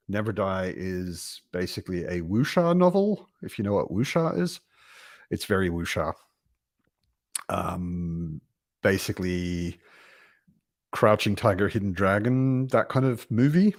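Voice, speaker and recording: A man, born in America and raised in Australia, hosting a casual home livestream about books, rambling and relaxed with many ums and restarts while he answers viewer comments. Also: sits low, slow pace, long pauses, no echo, studio quality. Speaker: book livestream host. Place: home, in Berlin.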